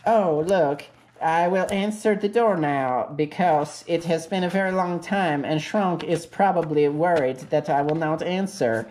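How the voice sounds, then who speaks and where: A woman plays an eager, lovestruck donkey in a homemade comic story. The voice is fairly low and unhurried, with stilted, over-polite phrasing and a little background noise. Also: a little mellow.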